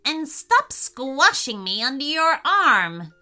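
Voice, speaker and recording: A woman's voice: high voice, big pitch swings, slow, sharp tone, voice pushed up, heavily acted. A woman voicing a cheeky, complaining magical pumpkin in a children's story, blunt and contrary. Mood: cheeky, indignant.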